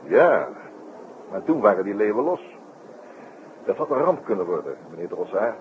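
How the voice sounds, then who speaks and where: A man playing a composed, courteous detective inspector with dry wit in a radio drama, in measured, polite phrasing. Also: lowish voice, big pitch swings. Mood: serious, probing. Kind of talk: old Dutch radio drama acting. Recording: dull, noisy old radio recording.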